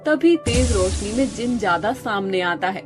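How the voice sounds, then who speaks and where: A woman's voice: mid-range voice, animated, moderate pace. A woman narrating a scripted moral story as a storyteller. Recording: music behind the voice.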